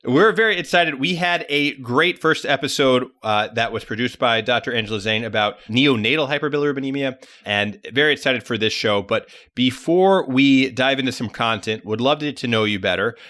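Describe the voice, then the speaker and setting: A man hosts a casual podcast interview. His voice is bright and forceful, sitting at an average pitch, and he talks in loose, chatty banter. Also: a little fast, hardly any pauses. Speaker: podcast host.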